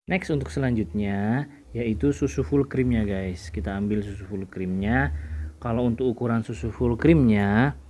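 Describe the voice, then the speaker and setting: A man narrating a casual home drink-making tutorial in a relaxed way. He keeps addressing viewers as "guys" and often lets his sentences trail off quietly. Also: medium pitch, normal speed. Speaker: home cooking video host.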